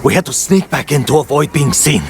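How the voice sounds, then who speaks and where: A man playing a rugged, embittered raider in a video game, with a gravelly voice and a blunt, intense manner. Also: fairly high pitch, expressive, a little fast, background noise. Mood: urgent. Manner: blunt, urgent, emotionally raw. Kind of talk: dramatic video game cutscene acting. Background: game music.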